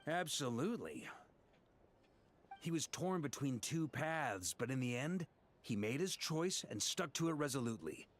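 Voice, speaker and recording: A man playing an easygoing, gracious young adventurer in a video game, with an expressive, relaxed manner. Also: medium pitch, normal speed. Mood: sincere, admiring. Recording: music behind the voice.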